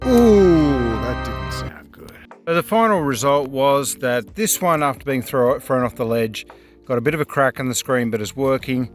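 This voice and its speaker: Male product reviewer, medium pitch, casual and plain-spoken, faint music underneath. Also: expressive, moderate pace. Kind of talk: casual hands-on gadget review.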